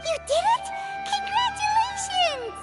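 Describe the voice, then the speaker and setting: An extremely high-pitched little companion girl chatters in an anime game style. She is excitable and inquisitive, swinging widely in pitch, with music playing behind her. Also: unhurried. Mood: excited. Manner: exclamatory, inquisitive.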